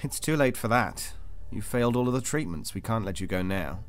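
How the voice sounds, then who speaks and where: An actor playing a cold, detached interrogator in an audio drama, formal, controlled and insistent. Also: male voice, lowish voice, animated, a little fast, lightly acted. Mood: coldly firm. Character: cold, clinical male interrogator of a captive. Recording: music under the voice.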